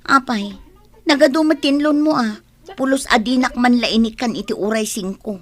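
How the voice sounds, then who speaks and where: A woman's voice: a little high, moderate pace, lightly acted. A woman with an Ilocano accent playing a worried, reproachful farmer's wife and mother in a radio drama. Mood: nagging.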